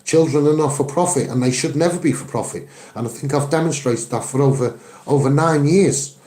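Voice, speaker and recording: A Scouse man speaks as a campaigner in a roomy, echoing space. His voice sits a little high for a man but is soft-edged and mellow. He is earnest and emphatic, often repeating phrases to drive them home. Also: brisk. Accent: Liverpool (Scouse). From Liverpool, England. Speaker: activist and online video maker. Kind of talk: impassioned campaigning monologue to camera.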